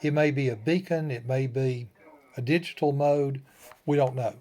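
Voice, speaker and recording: A man's voice: mid-range voice, fairly even intonation, moderate pace, warm tone. Folksy man giving an informal how-to. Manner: folksy, plain-spoken, short phrases. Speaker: ham radio tutorial host. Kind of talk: informal step-by-step demonstration.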